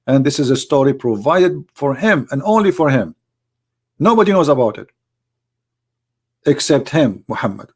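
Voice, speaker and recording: A man's voice: mid-range voice, a little mellow. A man hosting a religious video, delivering an argumentative monologue in non-native English phrasing. He speaks emphatically, repeating points and asking rhetorical questions.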